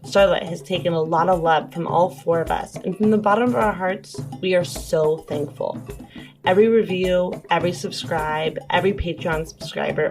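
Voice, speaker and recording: A woman's voice: fairly low pitch, darkish tone. A woman speaking as a podcast cast member, giving a scripted introduction addressed to the listeners. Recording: music under the voice.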